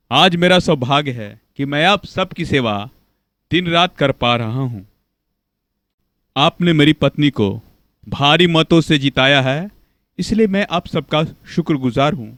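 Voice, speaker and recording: A male voice actor plays a domineering, boastful small-town politician for a cartoon. His voice is a little high for a man, self-important and bossy, in a dry close recording. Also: lively intonation, measured pace, pauses often, a bit bright, no echo. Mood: pompous speechifying. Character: bossy, corrupt, boastful local politician husband. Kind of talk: Hindi cartoon voice acting.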